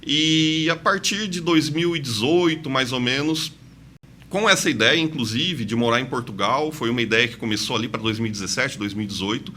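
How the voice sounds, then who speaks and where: A Brazilian man in his mid-forties speaks with a bright, forward voice at an ordinary pitch. His accent blends São Paulo with Santa Catarina. He talks conversationally as an interview guest, with faint noise in the background. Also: moderate pace. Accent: mixed São Paulo and Santa Catarina Brazilian. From interior of São Paulo state, Brazil. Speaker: podcast guest, investment advisor. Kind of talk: conversational interview, personal storytelling.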